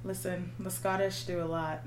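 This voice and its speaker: A woman guest on a casual podcast, soft-spoken and relaxed, laughing often, with a faint hum behind her. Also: fairly low pitch, normal speed. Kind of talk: casual chat.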